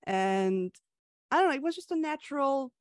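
A woman's voice: high voice, lively intonation, normal speed, no echo, clean studio sound. A young woman from Hungary, a comic creator answering interview questions in a chatty way with frequent fillers and laughs.

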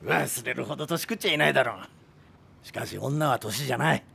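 An actor playing a domineering, drunken film director in his seventies, gruff and commanding, in a radio drama. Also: medium pitch, a little fast, bright, forceful tone, heavily acted. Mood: boozy, needling. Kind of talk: modern radio drama acting.

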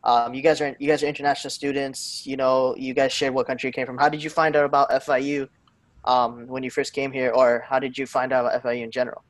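A young man moderating a live Q&A, asking questions in a relaxed way with fillers. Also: medium pitch, quick pace, dry recording. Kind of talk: hosting a live Q&A.

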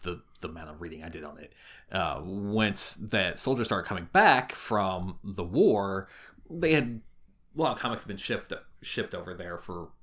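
A man with a fairly low voice co-hosts a podcast. He speaks casually and conversationally, with a wry, joking streak in how he puts things. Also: expressive, normal speed, a bit bright. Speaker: podcast co-host. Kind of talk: casual chat.

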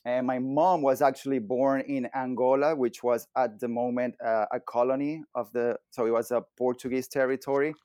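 A man's voice: mid-range voice, steady tone, normal speed, hardly any pauses, no room sound. Venezuelan guest in his thirties, loose storyteller, stumbles and repeats, dry mic. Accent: Venezuelan Spanish. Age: 30s. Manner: rambling, repeats words, warm laughter. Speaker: guest, personal trainer. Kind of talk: casual interview chat.

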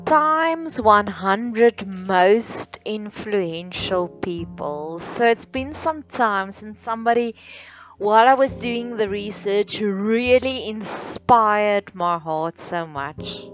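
A woman from South Africa with an Afrikaans accent, hosting her own podcast in her second language with a careful, halting delivery. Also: mid-range voice, highs cut off. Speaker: podcast host. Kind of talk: solo podcast monologue.

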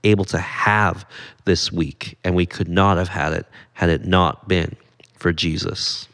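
A male podcast guest speaks earnestly in casual conversation, repeating words as he gathers his thoughts. His voice is very deep and holds an even, flat pitch. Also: measured pace.

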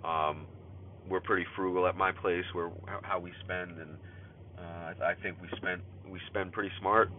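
Podcast host, 30s man, stuffy with a cold, low voice, rambling casual journal from his car, tinny phone-like sound, faint road noise. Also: fairly even intonation, normal speed. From California. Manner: rambling, many fillers and restarts. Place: in a car while driving.

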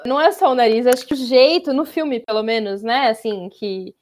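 A woman's voice: a little high, moderate pace, a little mellow, a little hiss or hum. A woman interviewer who chats casually and murmurs agreement while her guest talks.

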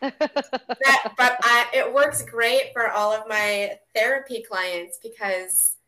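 High-voiced woman therapist, bright and roomy. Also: takes it slowly. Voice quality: high-pitched voice. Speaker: guest, therapist and clinical social worker. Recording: roomy echo.

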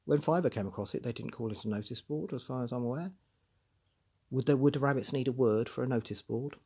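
A man's voice: mid-range voice, fast talker, warm tone, husky. An English man hosting a podcast, talking through a book chapter in a chatty, musing way with many restarts. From England. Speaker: podcast host.

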